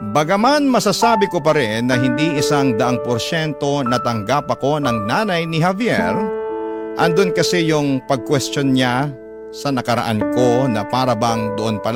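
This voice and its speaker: Man narrating over loud music. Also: medium pitch. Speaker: radio drama narrator. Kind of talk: scripted first-person story narration.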